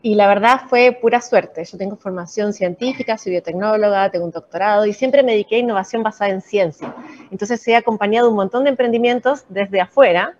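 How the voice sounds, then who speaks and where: An Argentine-accented woman explains her scientific work in a measured way, with fillers between her points. Her voice sits at an ordinary middle pitch. The recording is dull and lo-fi. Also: a little mellow, slight background noise. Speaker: chief science officer, guest. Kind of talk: casual interview.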